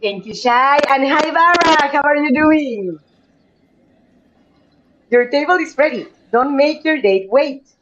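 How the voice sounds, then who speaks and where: A woman's voice: sits high, slow pace, long pauses, fairly bright tone, slight background noise, rough recording. Chatty woman streamer. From Mexico. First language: Spanish. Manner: chatty, greets viewers by name, reads game text aloud. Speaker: game streamer. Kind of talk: casual live-stream gameplay chat.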